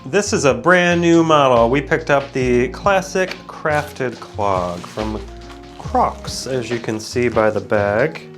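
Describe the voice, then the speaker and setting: A man talks in a medium-pitched voice that sounds a little stuffed up and sleepy. He rambles easily through a casual review, interrupting himself and drifting into side thoughts. Guitar music plays behind him. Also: animated, takes it slowly, fairly bright tone. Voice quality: slightly congested. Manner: easygoing, digressive, self-interrupting. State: groggy from waking up, with sinus congestion. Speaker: product reviewer and video host.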